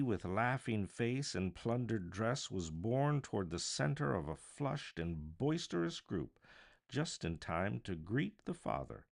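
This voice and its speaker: Deep voiced guy doing dramatic audiobook narration, takes it slow, very expressive. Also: gravelly. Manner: theatrical, expressive storytelling. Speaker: voice artist reading aloud. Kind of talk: dramatic live audiobook narration of a classic novel.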